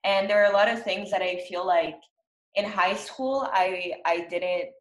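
A woman's voice: medium pitch, a little slow. A young woman from Brazil, a university student speaking earnestly in a casual chat. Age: young adult. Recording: echoey room.

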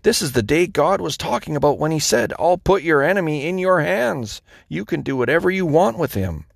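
A man's voice: fairly high pitch, animated, a little fast, gravelly, dry recording, studio quality, voice pushed up. Man voicing eager whispering soldiers. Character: band of eager hiding soldiers.

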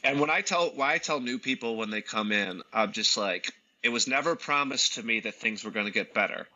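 Man, mid pitch, sharply bright forceful tone, earnest halting talk, slightly lo-fi recording. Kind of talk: casual reflective conversation.

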